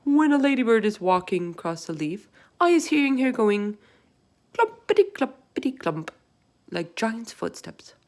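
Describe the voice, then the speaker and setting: A woman's voice: fairly high pitch, sing-song, measured pace, darkish tone, voice pushed up. A woman voicing a big, friendly, earnest male giant in a storybook, speaking emphatically in quaint, muddled grammar. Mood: boastful, emphatic. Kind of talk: storybook read-aloud character voice.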